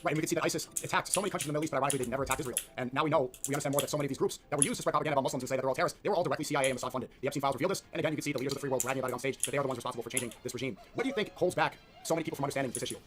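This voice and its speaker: Very fast-talking young male interviewer. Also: medium pitch, background noise. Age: young adult. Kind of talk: political interview with long opinionated questions.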